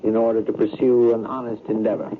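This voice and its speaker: An actor playing an erudite, bumbling professor who is gentle and formal in an old-time radio drama. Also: male voice, fairly low pitch, flat delivery, natural voice. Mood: measured, wry. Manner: formal, deliberate, bookish. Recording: noisy, tinny old radio recording.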